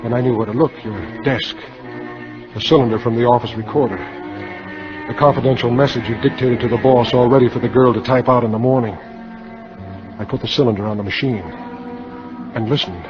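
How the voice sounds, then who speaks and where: A man's voice: medium pitch, fairly even intonation, brisk, long pauses, music in the background, close to an everyday voice. An American actor playing a cynical, guilt-ridden salesman turned killer in a 1950s radio drama, clipped and hardboiled. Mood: grim confessing. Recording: dull, noisy 1950s radio recording.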